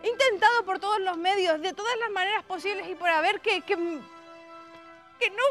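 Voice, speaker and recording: A woman's voice: very high voice, moderate pace, a bit bright, soft background music. An actress with an accent from Spain playing a grumpy, self-pitying young woman, dramatic and dryly sarcastic, in a scripted comedy. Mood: indignant. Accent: Spanish (Spain). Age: young adult.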